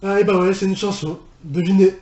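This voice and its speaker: A man's voice: high-pitched, steady tone, brisk, dark tone, dull audio, faint music behind. A man giving casual video commentary, thinking aloud informally and repeating himself. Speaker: reaction video host. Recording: roomy, echoey sound.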